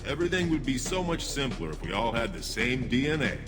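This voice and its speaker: An actor playing a dry, put-upon space station groundskeeper in a video game. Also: male voice, medium pitch, very animated, brisk, music in the background, natural voice. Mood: wry. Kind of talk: video game character acting.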